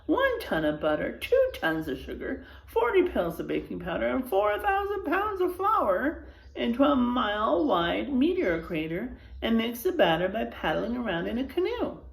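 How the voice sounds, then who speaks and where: A woman reading a children's story aloud expressively, pausing for asides to her young listeners. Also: fairly high pitch, big pitch swings, gravelly, roomy sound, rough recording.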